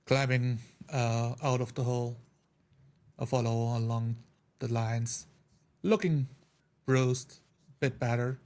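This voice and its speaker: A man's voice: mid-range voice, takes it slowly, pauses often. A man playing a dwarf-like character in a tabletop roleplay, plainly describing his actions. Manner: flat, matter-of-fact action descriptions. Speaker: player.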